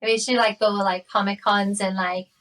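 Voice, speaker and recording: A woman in her late thirties with a Geordie accent, chatting casually and readily. Her pitch stays fairly even, and she sounds a little distant from the microphone. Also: normal speed, a crowd in the background. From Newcastle area, England. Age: late 30s. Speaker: podcast guest. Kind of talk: casual chat.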